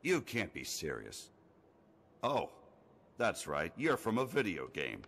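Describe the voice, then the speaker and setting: A man's voice: low-pitched, huge pitch swings, takes it slowly, a bit bright, full character voice. A man playing a proud, skeptical toy space ranger in a video game, speaking in firm, dismissive declarations. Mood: scornful. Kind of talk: animated video game dialogue. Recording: clear background noise and ambience.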